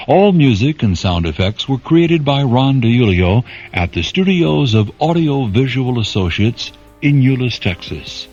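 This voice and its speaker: A man as announcer and narrator of a radio drama, reading in a measured, formal way. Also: mid-range voice, big pitch swings, darkish tone. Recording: dull recording under music.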